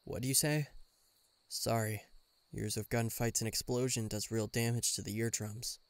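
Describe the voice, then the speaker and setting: Man voicing male bodyguard, guarded, dryly teasing, secretly fond, medium pitch, close dry recording, game-based audio roleplay. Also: steady tone, moderate pace, dry recording, natural voice. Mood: teasing, reserved. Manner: dry, teasing, reluctantly tender. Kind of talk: intimate roleplay addressed to the listener.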